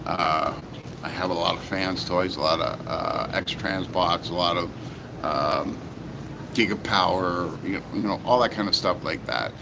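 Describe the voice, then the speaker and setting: A very deep-voiced man talks in a loose, rambling way, circling back to repeat himself with plenty of ums and uhs. His voice is hoarse and sore. Clear background noise sits under the recording. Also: measured pace. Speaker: toy reviewer. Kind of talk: casual vlog chat.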